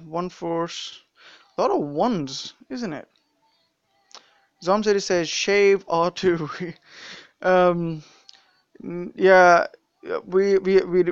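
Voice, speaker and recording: A man's voice: high-pitched, smooth, no echo, dull audio. A young man with a mixed British and Indian accent, casually commenting on a mobile game during a live stream, rambling with many fillers and restarts.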